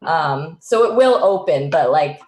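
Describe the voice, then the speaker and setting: A woman with a notably low voice chats informally as one of a group of actors. Her speech is loose and peppered with "like". The room around her rings with echo, as on a home video call. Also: animated, a little slow. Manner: informal, frequent "like". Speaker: stage actress. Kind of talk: casual group chat.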